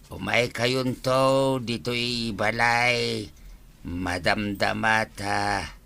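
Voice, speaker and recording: Actor playing a sharp, forceful village husband. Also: male voice, medium pitch, clear, resonant tone, full character voice. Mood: measured. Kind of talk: comedy drama dialogue.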